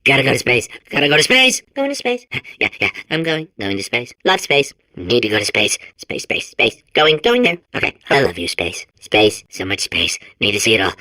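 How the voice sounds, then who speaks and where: A man's voice: mid-range voice, sing-song, moderate pace, sharp tone, no room sound. A man voicing a manic, childlike robot in a video game, stuttering and compulsively repeating words. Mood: dreamy longing. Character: manic, childlike male robot sphere obsessed with space. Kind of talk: video game character acting.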